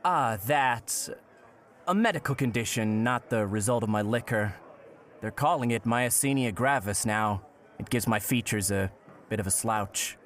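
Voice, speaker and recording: An actor playing a courteous, curious, old-fashioned gentleman traveler in a period audio drama, with polite and playfully florid speech. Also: mid-range voice, a little slow, close to an everyday voice. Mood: gentle, frank.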